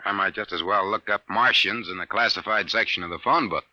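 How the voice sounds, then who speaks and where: An actor playing a sardonic, hard-boiled private detective boss, wry and gruff, in a 1950s radio drama. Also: male voice, fairly low pitch, brisk, no room sound, lightly acted. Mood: wry, businesslike. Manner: wry, gruff, clipped slangy talk. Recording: dull, dry vintage radio recording.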